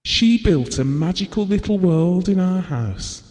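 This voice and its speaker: Tender, wistful husband, mellow and reverberant. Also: male voice, high voice, animated, unhurried. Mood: fond, wistful. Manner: tender, reflective storytelling. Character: devoted, wistful, estranged husband reminiscing about his marriage. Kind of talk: intimate audio drama monologue.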